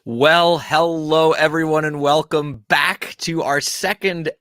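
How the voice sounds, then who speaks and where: A man's voice: fairly high pitch, fairly even intonation, takes it slowly, fairly bright tone, no echo, studio quality. Chatty male live-stream host. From Pennsylvania. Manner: friendly, chatty, greets viewers directly. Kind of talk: casual live-stream hosting.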